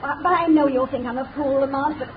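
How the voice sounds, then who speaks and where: A woman's voice: high-pitched, steady tone, fast, natural voice. A woman playing an anxious young society woman who stammers with worry, in 1930s radio drama style. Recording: tinny noisy 1930s radio recording.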